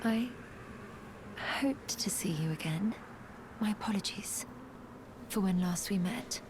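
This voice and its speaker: A woman playing a gravely ill, guarded young warrior in a video game, speaking in a breathy, halting, formal way. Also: medium pitch, darkish tone, slight background noise, natural voice. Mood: tender, grateful. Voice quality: breathy, whispery. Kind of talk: video game character acting.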